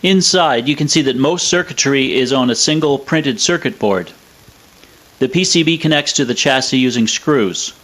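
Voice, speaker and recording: A man's voice: medium pitch, moderate pace, a bit bright. Matter-of-fact man narrating a technical explainer. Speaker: presenter of an electronics review.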